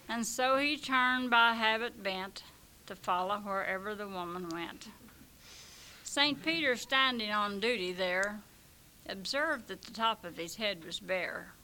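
A hoarse woman recites rhyming verse in an unhurried, expressive way. She takes her time over each line, and her voice has a bright, clear edge. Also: medium pitch, a little hiss or hum, low-quality recording.

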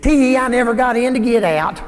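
A man's voice: very high-pitched, a little fast. A man voicing gleeful little folktale characters with giggly, teasing, sing-song taunts. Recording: echoey hall with long reverb.

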